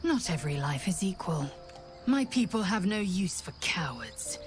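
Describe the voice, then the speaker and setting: A woman's voice: fairly low pitch, very animated, normal speed. An actress voicing a fierce, proud female githyanki warrior with a harsh, declaiming manner, heard over a music score. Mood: cold contempt.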